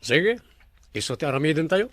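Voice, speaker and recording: A confident male boss in a radio drama. His voice is on the high side for a man as he gives decisive instructions. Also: sing-song, moderate pace, fairly bright tone, no echo. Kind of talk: Ilocano radio drama acting.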